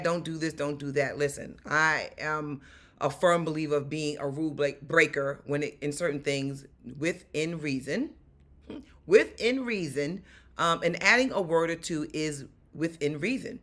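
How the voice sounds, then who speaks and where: Woman, 40s, New York accent, raspy and bright-toned; animated acting coach speaking straight to camera. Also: low voice. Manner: animated, emphatic, repeats key points. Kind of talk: direct-to-camera acting advice. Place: small room at home.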